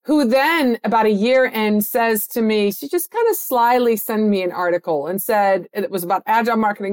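A middle-aged woman from the Netherlands, an agency owner, talking as a guest in an interview with an animated, enthusiastic manner. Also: medium pitch. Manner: animated, enthusiastic, lively storytelling. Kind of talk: interview conversation.

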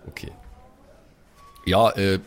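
A man talking casually as a player in an improvised role-playing game, hesitant and thinking aloud. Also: slow.

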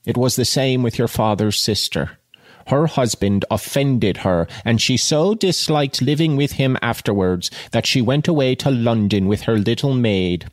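A man's voice: mid-range voice, animated, unhurried, no room sound, clean studio sound, natural voice. A man voicing an ancient, grim rural great-aunt in a novel, with blunt, rustic phrasing. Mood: grim, scolding. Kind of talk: storybook character reading.

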